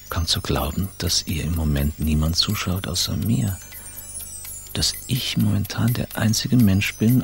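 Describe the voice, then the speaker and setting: A smug cosmetic surgeon, besotted and ironic, in a radio play monologue. His voice is extremely low and full of gravel. He savours each phrase, with faint music underneath. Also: fairly bright tone, full character voice. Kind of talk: radio drama interior monologue.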